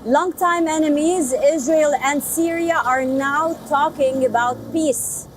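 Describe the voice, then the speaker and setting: A woman news anchor reading studio introductions in a crisp, measured delivery. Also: sits high, slight background noise.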